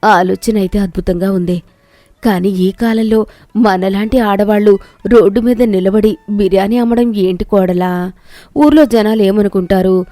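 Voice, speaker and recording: A woman's voice: mid-range voice, moderate pace, mellow, no room sound, faint music behind, natural voice. A woman voicing a doubtful older village mother-in-law in a cartoon tale, fretful and questioning.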